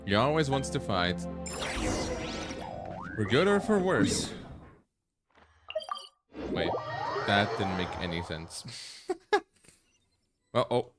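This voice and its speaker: A man with a fairly low voice streams a game with casual commentary. His intonation is lively and his reactions come in muttered fragments, with loud game music behind him. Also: slow, long pauses. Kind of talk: casual live playthrough commentary. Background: loud game music and dialogue.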